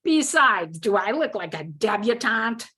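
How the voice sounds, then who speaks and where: Female reader as tough-acting young college woman, sarcastic and snappy, pitched up, story character. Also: very animated, moderate pace, bright, forceful tone. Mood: defiant, snarling.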